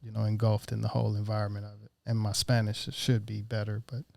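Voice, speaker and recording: Host. Man with a low, gravelly voice who asks casual, laid-back questions. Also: monotone, fast talker, a little mellow. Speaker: podcast host. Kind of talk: casual interview.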